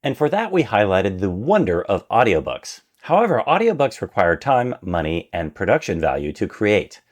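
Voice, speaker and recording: A man's voice: on the low side, big pitch swings, normal speed. A man reading his own prepared essay aloud as a podcast narrator, with an emphatic, enthusiastic delivery. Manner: refers to himself as "we".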